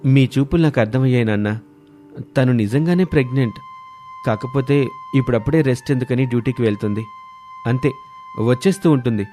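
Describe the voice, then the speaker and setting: A man's voice: mid-range voice, a little fast, long pauses, dark tone, faint music behind, lightly acted. Man playing a caring young husband. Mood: reassuring. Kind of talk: animated moral story voice acting.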